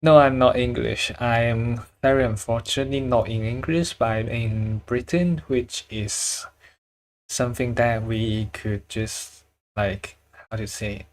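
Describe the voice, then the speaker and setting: A man's voice: medium pitch, fairly even intonation, measured pace, low-quality recording. A man with a Chinese accent, chatting casually with viewers while he draws on a livestream, often searching for words and chuckling. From China. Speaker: drawing livestreamer.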